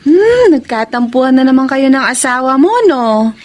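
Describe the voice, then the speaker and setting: An actress with a Filipino accent playing an earnest, frank younger sister in a radio romance drama. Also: female voice, high-pitched, expressive, moderate pace, darkish tone. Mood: curious. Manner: probing, earnest, reproachful.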